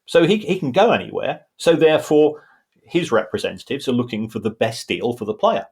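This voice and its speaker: A man's voice: mid-range voice, lively intonation, a little fast, darkish tone, breathy edge. A man co-hosting a podcast, explaining football finance in a casual, talky way, often restarting his sentences. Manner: explanatory, many restarts and repeated words. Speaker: football finance pundit, co-host.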